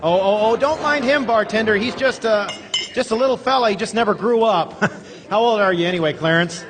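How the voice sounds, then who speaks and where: A man's voice: high-pitched, fairly quick, music in the background. An actor playing a despairing, sarcastic, bewildered family man in an old-time radio drama, stammering with folksy exasperation. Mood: uneasy, placating. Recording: echoey theater stage with long reverb.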